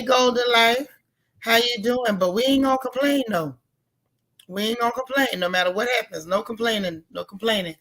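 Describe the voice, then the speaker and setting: Lady live host, loud raspy hoarse voice, real bright, chatty, shouts out viewers by name. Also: on the high side. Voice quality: loud, gravelly voice. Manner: chatty, greets viewers by name, folksy slang. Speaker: livestream host. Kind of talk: casual live recap chat.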